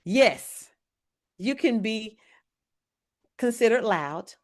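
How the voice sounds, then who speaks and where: A woman, an evangelist teaching a church leadership lesson in a loud voice. She speaks to the audience directly and checks in with them often. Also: on the high side, expressive, drawn-out words. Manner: says she has a very loud voice; talks to the audience directly, often asking "right?".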